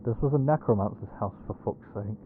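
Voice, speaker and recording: Man acting low-voiced, cocky ghost hunter. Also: brisk, phone-quality sound, slight background noise, lightly acted. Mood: defensive. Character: Reggie, cocky defensive male ghost hunter, quick with excuses. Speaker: voice actor in an audio roleplay. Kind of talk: audio roleplay acting.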